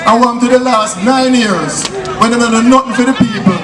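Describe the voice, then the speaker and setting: A man with a Jamaican accent giving a loud, exhorting political rally speech in Patois-inflected speech. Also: extremely high pitch, moderate pace. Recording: echoey PA from far off, crowd.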